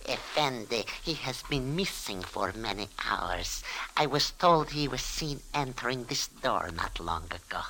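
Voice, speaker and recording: Shady beggar boss, old radio drama, stilted english, harsh edgy voice w some creak. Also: male voice, medium pitch, expressive, unhurried, sharp tone, heavily acted, rough recording. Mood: wheedling, servile. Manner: formal stilted broken English, obsequious address. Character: shabby scheming Egyptian street-beggar boss, wheedling then menacing. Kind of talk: 1940s radio drama acting.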